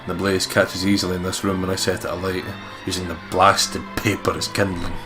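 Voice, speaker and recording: A man reading a visual novel aloud dramatically as a let's play host, swearing and breaking into excitable joking commentary, with game music behind him. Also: sits low, fairly quick. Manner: excitable, sweary, jokey ad-libs.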